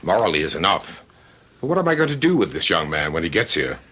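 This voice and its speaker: An actor playing a fussy, aloof, wealthy middle-aged bachelor in a radio drama, speaking with pompous precision and dry wit. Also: male voice, deep voice, big pitch swings, quick pace, pushed and bright, breathy edge, dull audio, full character voice. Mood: fastidious. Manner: pompous, precise, drily witty. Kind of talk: old-time radio drama acting.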